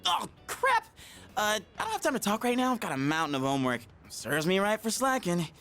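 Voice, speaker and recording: A man's voice: sits high, sing-song, brisk, pushed and bright, soft background music, full character voice. A man voicing a goofy, easygoing teenage schoolboy in a video game, casual and slangy. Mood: flustered.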